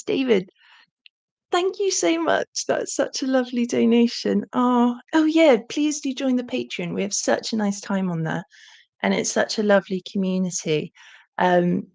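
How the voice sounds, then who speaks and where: A young woman with a very gravelly, croaky voice hosts a relaxed livestream Q&A. She chats freely, often pausing on an um, and her pitch sits in the middle for a woman. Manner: chatty, warm thanks, frequent ums. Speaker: channel host. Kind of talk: casual livestream Q&A chat.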